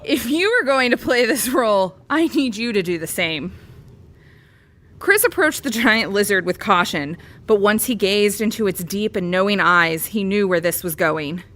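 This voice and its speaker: A woman's voice: mid-range voice, lively intonation, moderate pace, fairly bright tone. A woman reading a bawdy comic parody story aloud as a storyteller. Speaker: comedy storyteller.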